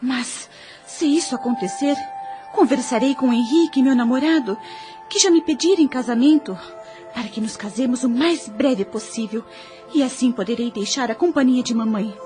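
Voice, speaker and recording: A woman's voice: high voice, normal speed, darkish tone, faint music behind, close to an everyday voice. An actress playing a distraught young betrothed woman in an audio soap opera, with a Brazilian accent and emphatic, formal, melodramatic delivery. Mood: pleading, resolved. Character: distraught young betrothed woman, bitter toward her mother. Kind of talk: radio soap opera acting.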